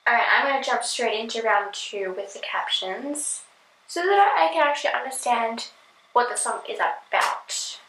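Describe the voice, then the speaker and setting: Chatty woman reaction host with a higher voice, giggly and casual, echoey home room. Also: fairly bright tone, a little hiss or hum. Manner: chatty, giggly, filler-heavy.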